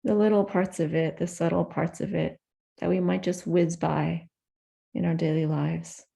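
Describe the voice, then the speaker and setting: A woman leading a guided meditation in a gentle, unhurried manner with soft pauses between phrases. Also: lowish voice, fairly even intonation, creaky.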